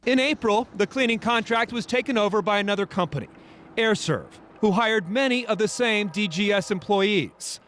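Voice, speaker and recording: An American man delivers a scripted investigative news report. His voice is notably high for a man, in the manner of a TV reporter. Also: moderate pace, a bit bright, a little hiss or hum.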